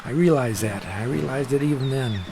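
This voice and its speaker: An actor in a radio drama playing a haunted, sleepless patient who talks in a halting, edgy, confiding way, afraid of his own imagination. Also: mid-range voice, gravelly, mellow, faint music behind, natural voice. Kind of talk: audio drama acting.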